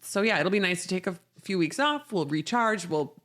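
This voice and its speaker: Low-pitched woman, casual podcast chatter. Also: animated, normal speed, a bit bright. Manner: chatty, informal. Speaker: podcast co-host. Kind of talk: casual chat.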